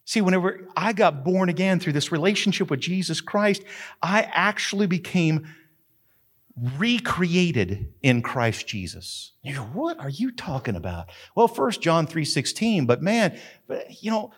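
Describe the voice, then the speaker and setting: Fifties guy pastor preaching, fairly high voice, bounces all over, animated church sermon. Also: a little slow. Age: 50s. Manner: animated preaching with shouts and laughs. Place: church platform.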